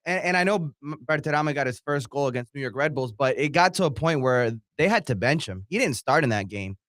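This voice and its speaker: A man's voice: medium pitch, animated, a little fast, a bit bright, dry recording. Male sports co-host, dry sound. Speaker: co-host and producer. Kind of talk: soccer analysis talk show.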